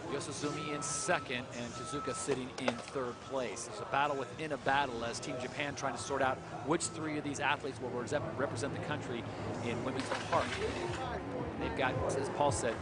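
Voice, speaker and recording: Brisk male sports commentator over loud noise. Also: medium pitch, steady tone. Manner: brisk, informative calls. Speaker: play-by-play commentator.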